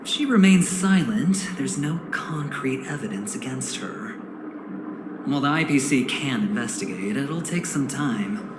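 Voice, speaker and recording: An actor playing a suave, smug, scheming corporate insider in a video game, speaking theatrically with dramatic pauses. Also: male voice, medium pitch, echoey room, background noise. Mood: persuasive, scheming. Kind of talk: video game cutscene dialogue.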